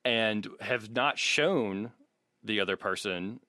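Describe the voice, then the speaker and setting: A man's voice: lowish voice, slow, bright, forceful tone, dry recording, clean studio sound. A man co-hosting a podcast in casual, conversational talk. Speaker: podcast co-host.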